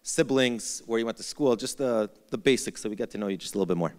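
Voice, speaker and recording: A man's voice: mid-range voice, quick pace, darkish tone. Informal male host in an echoey hall. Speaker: host of a live conversation. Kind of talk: informal moderating. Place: event hall before a live audience.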